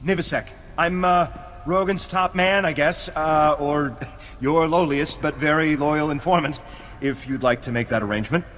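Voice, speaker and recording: A man's voice: fairly high pitch, moderate pace, fairly bright tone, natural voice. Man as a fawning, stammering gangster informant, reverb tail, faint hiss. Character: nervous, groveling male gangster informant. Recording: game audio with long reverb, faint noise.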